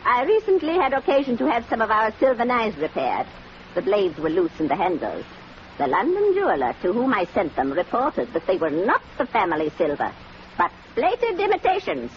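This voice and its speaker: Imperious old matriarch, high animated voice. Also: female voice, moderate pace. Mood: accusing. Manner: stern, clipped, commanding. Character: imperious, suspicious elderly wealthy matriarch. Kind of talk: 1940s radio acting. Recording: muffled, noisy old radio recording.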